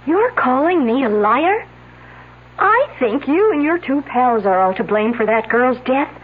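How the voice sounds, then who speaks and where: An actress playing a defensive, sharp-tongued woman suspect in a radio mystery, snapping back with accusations. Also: sits high, animated, a little slow, breathy edge, full character voice. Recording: tinny old radio broadcast.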